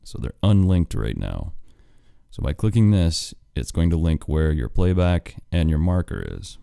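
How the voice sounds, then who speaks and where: An American man, an audio engineer, giving a screen-recorded software tutorial in a plain step-by-step way. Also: low voice, animated, unhurried, creaky, smooth, dry recording, studio quality.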